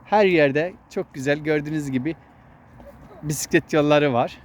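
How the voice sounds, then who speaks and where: A man's voice: on the high side, moderate pace. Male vlog host, fatherly explainer, chatting casually on an outdoor walk, light ambient noise. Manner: explanatory, addresses child and viewers. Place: outdoors on a walking path. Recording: noisy outdoor recording with traffic hum.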